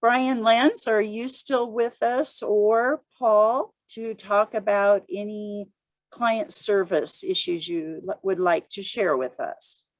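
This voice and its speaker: A woman moderating an informal work conference call, speaking in halting, deliberate phrases. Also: medium pitch, no room sound, poor audio.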